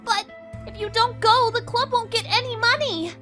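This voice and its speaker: A woman's voice: extremely high pitch, fairly even intonation, moderate pace, over music. A woman playing a perky, pushy teenage schoolgirl in an anime dub. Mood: pleading.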